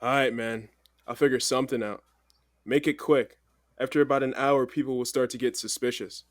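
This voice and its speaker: An actor playing an anxious, blunt business partner in an audio drama. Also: male voice, medium pitch, fairly even intonation, moderate pace, dry recording, studio quality, natural voice. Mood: urgent, practical.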